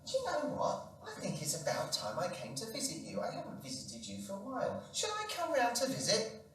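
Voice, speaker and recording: A man voicing the old man's cheerful friend, gushing in a much higher voice. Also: wildly swooping pitch, a little fast, creaky, fairly bright tone, heavily acted. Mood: chirpy. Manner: bubbly, gushing, high-pitched. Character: cheerful chatty friend on the phone. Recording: echoey room, mic far off.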